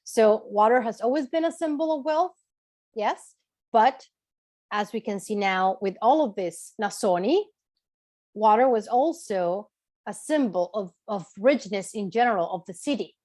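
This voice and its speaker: A woman's voice: mid-range voice, darkish tone, dry recording. A woman from Chile speaking as a tour guide in an informal talk, explaining and checking in with her listeners. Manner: explanatory, checks in with listeners, right and okay tags. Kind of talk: informal online lecture with question session.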